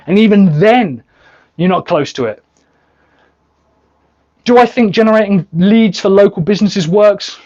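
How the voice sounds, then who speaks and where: A man coaching on sales in a live training, blunt and emphatic, swearing freely and going hoarse by the end. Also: fairly high pitch, darkish tone, muffled recording. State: losing his voice. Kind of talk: live training answering viewer questions.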